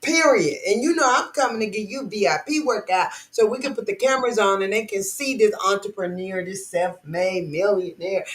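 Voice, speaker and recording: A woman's voice: mid-range voice, moderate pace, hardly any pauses. A woman hosting a podcast in casual chat, hyping up her guest with energy. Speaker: podcast host. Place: podcast studio.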